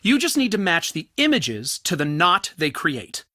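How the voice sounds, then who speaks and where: A bookish male festival organizer for an adventure game. He is fussy and polite, easily flustered and theatrical, with a bright voice that swings widely in pitch, recorded close and dry. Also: huge pitch swings, moderate pace, dry recording, full character voice. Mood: chatty, polite. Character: fussy, excitable, scholarly male culture center organizer. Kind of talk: video game character dialogue.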